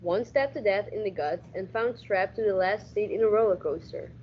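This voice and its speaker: A woman's voice: medium pitch, moderate pace, close to an everyday voice. A hard-nosed, wisecracking detective acted by a teenage woman narrates a radio play in hardboiled style. The delivery stumbles and repeats now and then, on a slightly dull recording with faint noise. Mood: dry, hardboiled narration. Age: teens. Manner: stumbles and repeats words while reading. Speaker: school radio drama actor.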